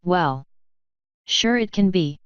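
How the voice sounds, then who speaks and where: A woman's voice: lowish voice, lively intonation, takes it slowly, clear, resonant tone, dry recording, highs cut off. A woman voicing a knowledgeable female unicorn mage who teaches patiently in an audiobook story. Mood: explanatory.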